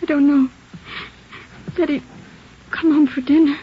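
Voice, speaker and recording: An actress playing the tired, plaintive young wife of a fugitive in an old radio drama. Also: female voice, sits high, fairly even intonation, a little slow. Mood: weary. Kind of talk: 1940s radio acting. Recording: dull vintage radio broadcast.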